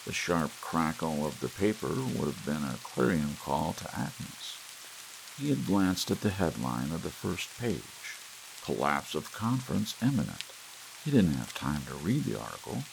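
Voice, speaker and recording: Man narrating an ASMR audiobook, deep low voice, wide lively pitch, expressive storytelling. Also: creaky, slight background noise, rough recording. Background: soft rain sounds.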